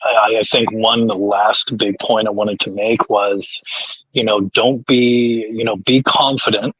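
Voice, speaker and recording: A man guesting on a casual chat show, talking in a relaxed, rambling way with lots of fillers and restarts. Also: lowish voice, unhurried, breathy edge, no echo, lo-fi sound. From Canada.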